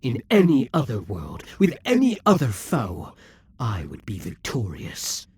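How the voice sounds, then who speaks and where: A man voicing a proud, vengeful male demon king centuries old, speaking slowly and theatrically in an audio roleplay, with hissing whispers at times. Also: mid-range voice, wildly swooping pitch, darkish tone, full character voice. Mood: incredulous. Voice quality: very husky, breathy.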